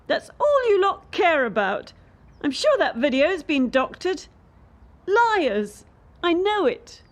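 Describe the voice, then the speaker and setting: A woman's voice: extremely high pitch, sing-song, slow pace, exaggerated voice. A woman acting in a radio play as a bitter, defiant young elite gymnast under pressure. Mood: bitter, wary.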